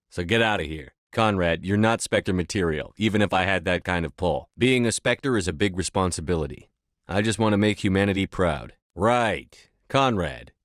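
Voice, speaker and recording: A low male voice, close and dry, a resolute military commander in a sci-fi game. He speaks in terse, assertive lines with a blunt, controlled manner. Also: fairly bright tone, no room sound. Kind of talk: scripted video game dialogue.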